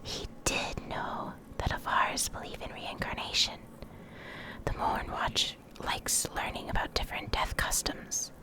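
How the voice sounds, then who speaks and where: A woman doing an ASMR reading in a soft, breathy whisper, deliberate and pausing between short phrases. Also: rough recording. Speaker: ASMR creator reading game lore.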